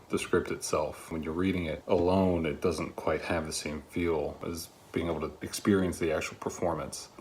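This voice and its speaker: A man giving a spoken review of a script in an earnest, reflective way with measured phrasing. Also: low voice, darkish tone, a little hiss or hum.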